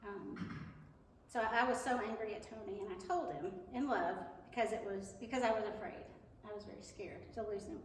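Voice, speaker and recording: A woman's voice: medium pitch, a little fast, a little hiss or hum. A woman giving a Mother's Day talk at church, earnest and chatty, a little nervous, with a big voice. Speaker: guest speaker at church. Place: church sanctuary. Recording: echoey hall, mic from far off.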